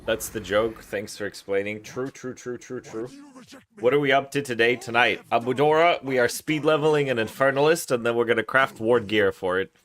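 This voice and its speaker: A man's voice: medium pitch, moderate pace, a bit bright. A man from Russia hosting a livestream, chatting casually while he plays, reading chat aloud, swearing and laughing often. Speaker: streamer host.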